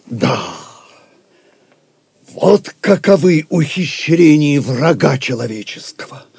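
Stern grand master, audio play: mellow dark timbre, measured slow speech, imperious and severe. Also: male voice, fairly high pitch, lively intonation, creaky, breathy edge, dry recording, heavily acted. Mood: righteous, severe. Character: Beaumanoir, stern austere grand master of Templars. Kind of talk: Russian audio drama acting.

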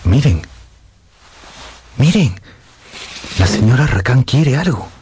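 Eager, animated friendly man, slow delivery. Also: medium pitch, slight background noise. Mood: excited, amazed. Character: friendly, eager, naive male dinner guest and friend. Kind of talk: radio drama acting.

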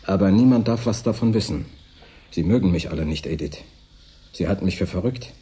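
Husky, dark-voiced secretive man. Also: deep voice, brisk. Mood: secretive, intense. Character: secretive, lonely station worker, insisting he is sane. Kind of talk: German radio drama acting.

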